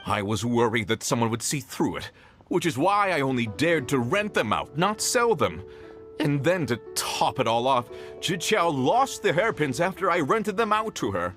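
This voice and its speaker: Shifty cornered male merchant, stammering, flustered, very animated pitch, music behind. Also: moderate pace. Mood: confessing, pleading. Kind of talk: video game character acting.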